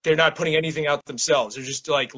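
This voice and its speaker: Bright-voiced man, rambling casual talker. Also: on the high side, fairly even intonation, fast talker, slightly rough. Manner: rambling, self-interrupting, frequent "like". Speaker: interview guest, poet and small-press publisher. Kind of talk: casual interview chat.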